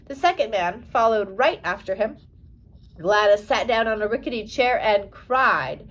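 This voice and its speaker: A woman's voice: fairly high pitch, rough recording. Woman reading a storybook aloud. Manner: careful read-aloud with occasional stumbles. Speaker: audiobook reader. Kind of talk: scripted storybook narration.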